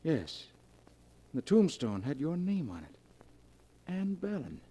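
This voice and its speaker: An actor playing a haunted, fearful husband with anxious melodrama, in the manner of 1940s radio acting. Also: male voice, a little high, huge pitch swings, slow, dark tone, slightly rough, heavily acted. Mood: shaken, confiding. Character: haunted, panicky husband, guilt-ridden and fearful.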